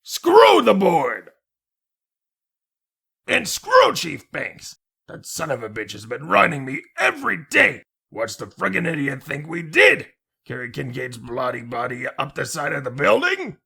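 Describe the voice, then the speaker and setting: A man voicing a hot-tempered, foul-mouthed city police detective, blustering in a strained, raspy voice for a comic dub. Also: fairly high pitch, wildly swooping pitch, a little slow, pushed and bright, full character voice. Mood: furious. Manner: blustering, irate.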